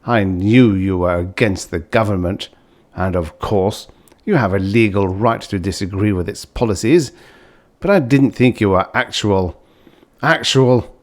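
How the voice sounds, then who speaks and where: A man's voice: on the low side, lightly acted. Man playing earnest, stiffly indignant young loyalist. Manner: stiff, indignant, halting for words. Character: earnest, naive, priggish young man loyal to alien rulers.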